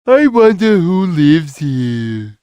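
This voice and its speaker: An actor playing a big, gentle, simple-minded cat in a video game, talking in a slow, drawn-out way. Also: male voice, on the high side, very animated, clear, resonant tone, no room sound. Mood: mild wonder. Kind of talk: video game character lines.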